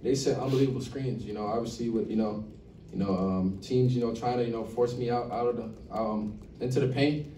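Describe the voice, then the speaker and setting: Young man answering at a podium, mellow voice. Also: medium pitch, fairly even intonation, fairly quick. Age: young adult. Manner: loose, repeats "you know" constantly. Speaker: college basketball player. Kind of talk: postgame press conference answers. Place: press conference room. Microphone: table mic, recorded from across the room. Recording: echoey room, distant mic, faint hum.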